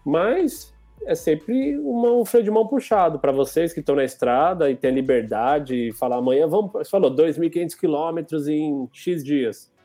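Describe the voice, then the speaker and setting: A man, hosting a casual interview in a relaxed, rambling way, full of fillers. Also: on the high side, normal speed, faint music behind. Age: 30s. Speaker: interviewer, father.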